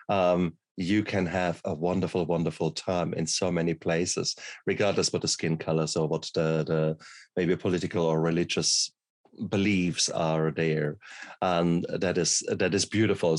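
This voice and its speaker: A man's voice: sits low, no echo. A man who grew up in Germany, hosting an interview in a casual, hesitant way and often repeating his words. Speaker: podcast host, doctor.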